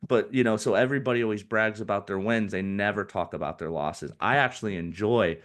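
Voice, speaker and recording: A man in his forties, a financial advisor being interviewed on a podcast, who talks in a lively way and acts out what other people might say. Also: lowish voice, a little fast. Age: 40s. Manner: animated, acts out quoted people in put-on voices.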